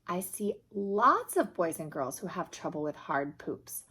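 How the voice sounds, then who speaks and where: Woman reading a patient, reassuring doctor, slow and animated. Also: on the low side, slightly rough, full character voice. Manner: patient, explanatory, encouraging. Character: kindly, reassuring children's doctor. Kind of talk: children's picture book read aloud.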